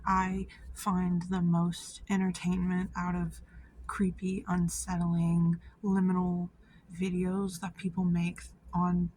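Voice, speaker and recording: A woman's voice: on the low side, monotone, takes it slowly, a little mellow, slight background noise, low-quality recording. A woman giving casual vlog commentary in an informal, slangy way, peppered with "like" and swearing. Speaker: video creator.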